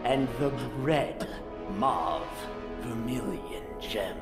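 Brooding cartoon hedgehog antihero acted by a man, cocky, melodramatic, lively swooping pitch, music behind. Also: drawn-out words. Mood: grandiose. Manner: melodramatic, swearing, self-important. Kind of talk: improvised comedic fandub acting.

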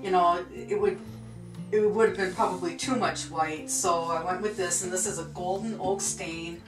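Easygoing woman in her 50s, slightly low voice, roomy home sound with music under it. Chatty tour host. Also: steady tone, normal speed. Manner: chatty, easygoing, many ums and you-knows. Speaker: vlog co-host showing her sewing room. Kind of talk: casual home tour chat. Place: small home sewing room, indoors.

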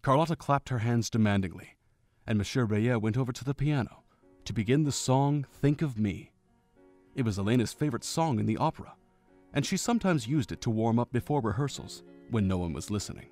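A man narrating a fan audio drama in a steady storytelling way. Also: medium pitch, fairly quick, faint music behind.

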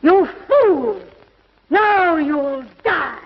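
An actress playing a mad, power-hungry villainess in a 1950s TV serial, theatrical and deliberate, coaxing and menacing. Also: female voice, sits high, big pitch swings, a little hiss or hum, heavily acted. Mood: furious. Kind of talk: 1950s television serial acting. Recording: dull, lo-fi 1950s television soundtrack.

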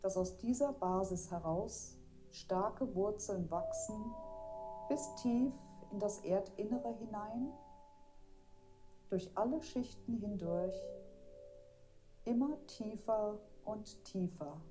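Slow-speaking woman guiding a meditation over music. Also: medium pitch, low-quality recording. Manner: gentle, deliberate, soothing instruction. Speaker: meditation guide. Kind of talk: guided meditation narration.

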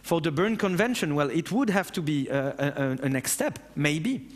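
A man's voice: a little high, lively intonation, normal speed, in a large hall. Passionate French man on stage. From France. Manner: passionate, blunt, with casual swearing. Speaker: conference speaker. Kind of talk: Q&A after a talk. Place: large dark auditorium on stage.

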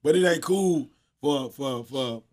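A man with a hoarse voice, co-hosting a podcast with loud, laughing, profane banter in a small studio. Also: mid-range voice, slow. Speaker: podcast co-host. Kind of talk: casual group chat. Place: small radio studio with several guests. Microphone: boom-arm studio mic.

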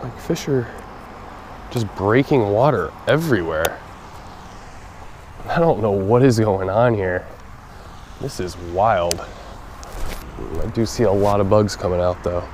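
Young male fishing vlogger, lowish voice, chatty asides to the viewers, outdoor camera mic with steady background noise. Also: unhurried, long pauses. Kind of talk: casual outdoor commentary. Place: outdoors at a pond. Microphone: action camera.

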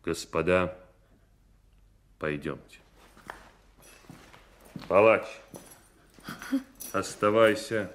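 A man's voice: low voice, extremely slow, long pauses, a bit bright, slight background noise, full character voice. An actor playing a grave, bitter nobleman who passes judgement in a solemn, commanding manner in a radio drama. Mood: grave, stern. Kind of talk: 1990s Russian radio drama acting.

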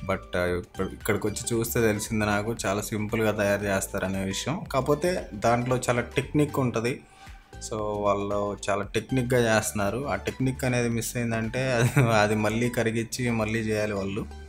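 A man's voice: on the low side, fairly even intonation, brisk, faint music behind. A young man vlogging a factory tour, explaining each step to his viewers in a friendly way, recorded indoors in a small workshop. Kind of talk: casual vlog narration.

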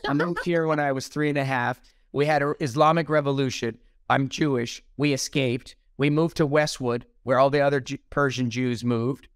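A man's voice: medium pitch, fairly even intonation, normal speed, few pauses, clean tone, dry recording, clean studio sound. A middle-aged man, a plastic surgeon, talking bluntly and jokingly on a video call. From Iran. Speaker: plastic surgeon, podcast host. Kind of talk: casual interview chat. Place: home room on a video call.

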